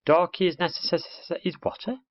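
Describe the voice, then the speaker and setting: A small baby barn owl in a storybook, high and earnest, full of questions and quick to turn huffy, as a male narrator puts him on. The voice sits well above the reader's own deep tone. The recording is dull and lo-fi. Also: dry recording. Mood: puzzled. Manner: earnest, questioning, easily cross.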